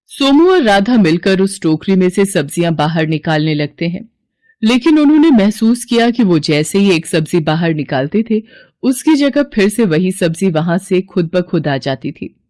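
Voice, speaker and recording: Female storyteller for scripted moral tales, lowish pitch, very dry studio-close sound. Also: sing-song, normal speed, mellow, dry recording.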